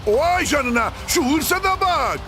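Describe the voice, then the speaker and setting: A man voicing an excited, dramatic football match commentator in a children's cartoon. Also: extremely high pitch, takes it slowly, full character voice. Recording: faint music behind.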